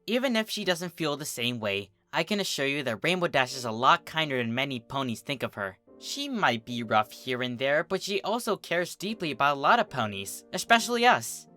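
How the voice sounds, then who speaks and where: A narrator voicing a bookish, reassuring young female pony with a gentle, earnest, articulate manner, in a cartoon fan story. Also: mid-range voice, very animated, brisk, few pauses, soft background music.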